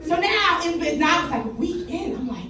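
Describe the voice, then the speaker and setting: A woman's voice: extremely high pitch, animated, moderate pace. A female stand-up comedian telling brash, profane stories about her relationship on a comedy club stage. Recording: echoey club, distant mic, loud crowd.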